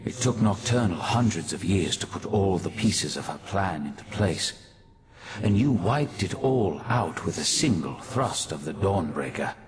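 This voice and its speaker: An actor playing an ancient, serene godlike being in a fantasy video game, with measured, lofty, formal delivery. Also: male voice, sits low, expressive. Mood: solemn reassurance. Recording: long cavernous reverb.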